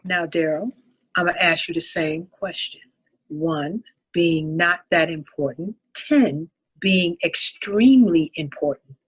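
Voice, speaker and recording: A woman playing a composed, patient couples counselor in a dramatic table read, talking in a measured, instructive way. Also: lowish voice, breathy edge, rough recording. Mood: calm, instructive.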